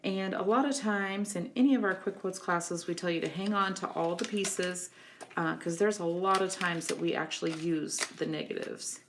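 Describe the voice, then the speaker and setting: A woman with a scratchy voice from a cold, giving a relaxed, chatty scrapbooking tutorial. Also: on the low side, normal speed. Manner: relaxed, chatty, many ums. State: getting over a cold, scratchy voice. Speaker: craft instructor.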